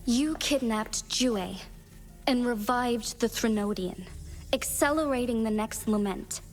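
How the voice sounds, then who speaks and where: A woman's voice: on the high side, slow pace, soft background music. An actress playing a dignified, resolute female magistrate in a video game, speaking formally and firmly. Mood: defiant. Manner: formal, composed, firm. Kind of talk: dramatic video game voice acting.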